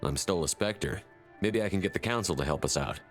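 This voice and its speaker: An actor playing a resolute, blunt space marine commander in a sci-fi game, speaking firmly and directly. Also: male voice, low voice, expressive, brisk, soft background music. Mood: determined, questioning. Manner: firm, direct. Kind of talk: cinematic sci-fi game dialogue.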